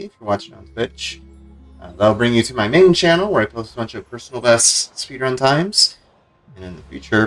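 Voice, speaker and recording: A man's voice: fairly low pitch, fairly bright tone. A man chatting casually as a game streamer on a livestream.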